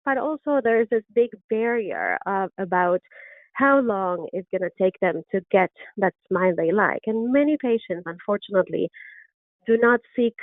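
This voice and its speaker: A woman's voice: mid-range voice, no room sound. A middle-aged woman from Argentina with an Argentine Spanish accent, a native Spanish speaker, presenting a webinar as an orthodontist in an earnest, enthusiastic way with frequent ums and restarts. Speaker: orthodontist lecturer. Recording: dry, tinny phone-like webinar audio.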